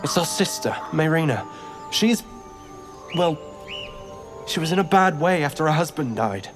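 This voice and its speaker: A man's voice: fairly high pitch, expressive, unhurried, over music. A man playing an anxious, timid country brother in a video game, hesitant and pleading, with a British accent. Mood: worried. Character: anxious, timid rustic younger brother, worried sick. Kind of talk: video game character acting.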